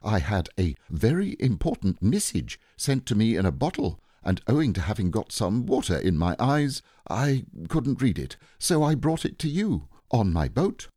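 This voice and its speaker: A man's voice: fairly low pitch, very animated, normal speed, few pauses, mellow, no room sound, clean studio sound, pitched above the performer's own voice. A man voicing a proud, simple-minded, lovable toy bear with a growly voice and a naive self-importance. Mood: proud, earnest.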